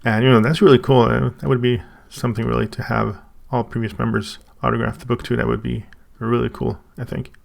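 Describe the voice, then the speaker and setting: Swedish guy unboxing stuff, super gravelly voice, lots of stops and restarts. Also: mid-range voice, level tone. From Sweden. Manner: halting, thinking aloud with many restarts. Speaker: channel host. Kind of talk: casual unboxing review.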